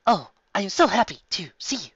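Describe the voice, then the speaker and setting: A man's voice: high voice, very animated, a little fast, a bit bright, no echo, muffled recording, pitched above the performer's own voice, full character voice. A man reading a fan story aloud, voicing a stern, guilt-tripping ghostly mother who scolds her grown son. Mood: scolding. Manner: strict, scolding.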